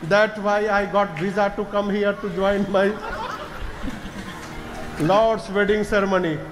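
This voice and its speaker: A man's voice: high voice, fairly even intonation, very slow, noisy background. A man putting on a cheerful, boastful foreign ghost who talks in mock English with laughter. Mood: gleeful.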